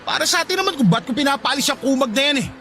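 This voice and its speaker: An irritable Filipino husband who runs a shop in a radio drama. His voice is very high and rushes along, indignant and scolding. It swings with feeling over a faintly noisy outdoor background. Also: animated, sharp tone, full character voice. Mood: indignant, defensive. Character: irritable, callous husband running a hardware shop.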